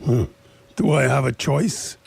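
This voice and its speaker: An actor playing a harried, grumbling sheriff with a bad stomach in a radio play, husky and creaky, prone to mild folksy cursing. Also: male voice, mid-range voice, unhurried, darkish tone, full character voice. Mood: grumbling, exasperated. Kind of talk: radio theatre acting.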